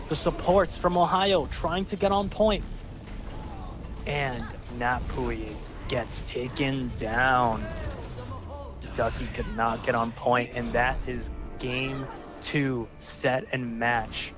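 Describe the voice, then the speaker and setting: A man casting a live online match, calling the action and filling pauses with rambling, self-deprecating asides. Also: medium pitch, fairly even intonation, over music. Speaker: esports caster. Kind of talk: live game commentary.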